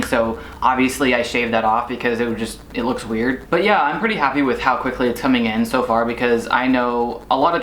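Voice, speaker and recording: A man's voice: mid-range voice, a bit bright, echoey room, rough recording. A young man in a casual video diary, talking loosely with lots of filler words. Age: young adult. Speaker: vlogger.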